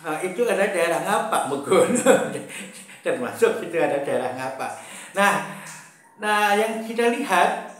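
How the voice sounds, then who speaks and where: Slow, hesitant man in a very echoey room. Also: high voice, animated, a bit bright. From Brebes, Central Java. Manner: slow, hesitant, many fillers and repetitions, chuckles often. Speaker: political commentator. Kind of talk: casual political monologue.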